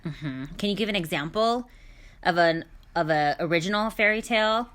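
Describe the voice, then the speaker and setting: American woman hosting, lower-pitched voice. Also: lively intonation, moderate pace, a bit bright, smooth. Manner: encouraging, prompting with questions. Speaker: podcast host. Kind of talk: casual educational discussion.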